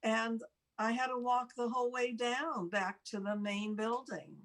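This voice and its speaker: An older woman telling a personal story casually, in a halting, rambling, self-deprecating way, as a talk show host. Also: a little high, steady tone, fairly bright tone.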